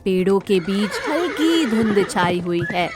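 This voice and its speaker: A woman's voice: medium pitch, big pitch swings, measured pace, over music. A woman narrating a scripted children's moral story as the storyteller.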